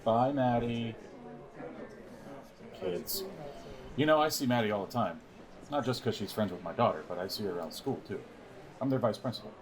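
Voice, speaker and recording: A man playing a middle-aged, over-friendly vice principal who pitches his organization, in a naturalistic audio drama. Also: animated, moderate pace, pauses often, close to an everyday voice. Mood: genial. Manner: genial, persuasive. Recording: busy crowd chatter behind.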